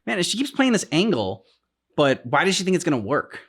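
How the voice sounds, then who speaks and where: A man in a casual discussion, talking as a reaction show host in a halting, rambling way as he thinks aloud. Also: fairly high pitch, big pitch swings, a bit bright. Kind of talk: casual discussion of video game story.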